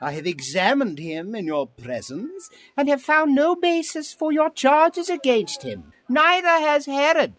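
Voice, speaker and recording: A woman acts out a troubled Roman governor in an audio scripture drama. The voice is high and slow, with wide declamatory swings, set over music. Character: troubled Roman governor, a man, questioning a crowd.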